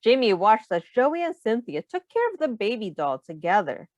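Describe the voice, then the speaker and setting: Expressive woman reading aloud, lively pitch. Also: normal speed, no echo. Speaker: teacher reading a picture book aloud. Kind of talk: read-aloud narration.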